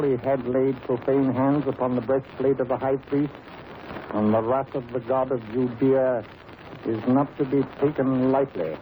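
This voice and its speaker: A man playing a commanding, assured gentleman detective in a 1930s radio drama. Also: mid-range voice, natural voice. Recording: tinny, hissy 1930s radio recording.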